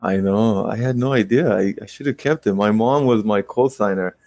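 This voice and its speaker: Guy in his late twenties chatting casually, lowish voice, dry sound, tells stories quick and rueful. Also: no echo. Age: 20s. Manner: animated storytelling, quick rueful asides. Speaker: guest real estate investor. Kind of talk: casual conversational interview.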